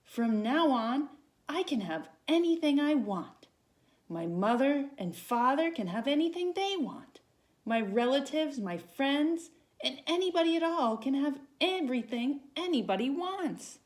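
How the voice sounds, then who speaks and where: A woman voicing an excitable, eager young male donkey in a storybook reading. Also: high voice, measured pace, voice pushed up. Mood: elated.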